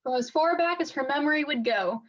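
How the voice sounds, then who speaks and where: Woman, high voice, children's read-aloud, expressive and deliberate, dull recording. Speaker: teacher reading a picture book aloud.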